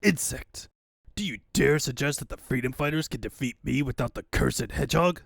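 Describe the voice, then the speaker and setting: A man's voice: medium pitch, normal speed, creaky, a bit bright, no echo, studio quality. A man voicing a pompous, bullying mad-doctor villain in a comic dub, with a grandiose, menacing delivery. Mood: gloating, outraged.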